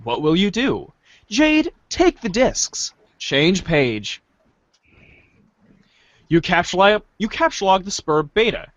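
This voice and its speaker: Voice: medium pitch, big pitch swings, takes it slowly, long pauses, no room sound, dull audio. A podcast host doing a playful, theatrical group reading of a webcomic script. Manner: playful, theatrical page-change calls.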